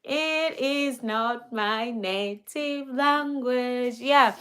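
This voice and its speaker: Chatty Kenyan woman on a slow live stream. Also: fairly high pitch, smooth. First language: Swahili and Luo. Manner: relaxed, chatty, many fillers and laughs. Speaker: livestreamer. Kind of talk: live stream chat reading comments.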